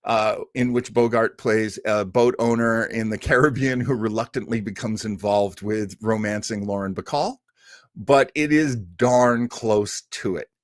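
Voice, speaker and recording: A man's voice: lowish voice, steady tone, measured pace, no echo. A man hosting a live listening party, presenting casually in a chatty, enthusiastic way with dry humor.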